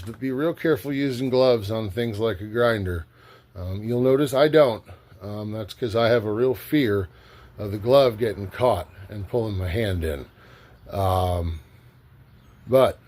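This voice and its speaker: A man's voice: fairly low pitch, a little mellow. A man giving casual, cautionary how-to instruction about tool grinding in a home workshop, with frequent ums and a voice a little off after the flu. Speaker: rock club tool demo host.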